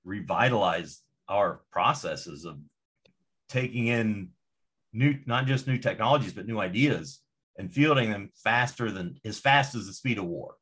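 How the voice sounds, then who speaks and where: A man with a husky, breathy edge to his voice, talking casually on a podcast. He rants with emphatic, profane energy and hammers his points home. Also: on the low side, lively intonation, a little slow, a bit bright. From Indonesia, to American parents. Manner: emphatic, profane, fired-up ranting.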